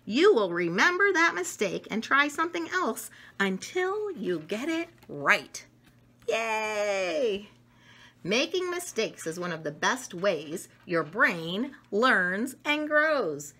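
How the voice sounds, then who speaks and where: A middle-aged woman reading a children's picture book aloud in an expressive way, with small asides, recorded in a room at home. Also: mid-range voice, slow. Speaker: storybook reader. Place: room at home with wooden cabinets.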